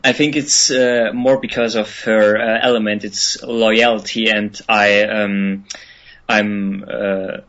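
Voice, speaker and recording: A young man with a German accent speaks slowly and haltingly, filling pauses with ums and uhs. His voice sits a little low. The recording is dull and lo-fi, like an online radio call. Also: level tone, fairly bright tone. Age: 20s. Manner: hesitant, many ums and uhs, laughs often. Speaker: interview guest, musician. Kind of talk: casual interview answers.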